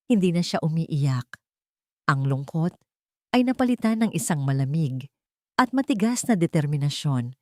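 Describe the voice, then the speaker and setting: A woman narrating a scripted dramatic story in measured phrases with pauses, as an audiobook reader. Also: lowish voice, dark tone, no echo, clean studio sound.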